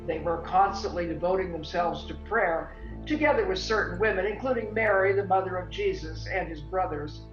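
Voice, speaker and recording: A woman narrating a scripted dramatic story with theatrical, deliberate phrasing. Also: on the low side, close to an everyday voice. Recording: echoey room, slightly dull audio. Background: music.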